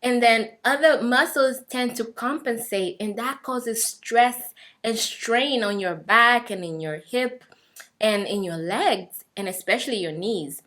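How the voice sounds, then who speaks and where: Young woman, Swahili native speaker, slightly high voice, sincere encouraging vlog chat. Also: measured pace, a bit bright. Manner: earnest, encouraging, many fillers and restarts. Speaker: fitness vlogger. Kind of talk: casual talk to camera.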